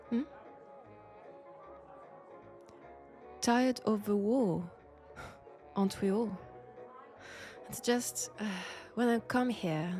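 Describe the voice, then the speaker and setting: A woman's voice: medium pitch, creaky, dark tone. A woman playing a mysterious, flirtatious Frenchwoman in 1940s England, speaking with a French accent in an intimate, coy roleplay audio drama. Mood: wistful, conversational. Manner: unhurried, coy, conversational with pauses.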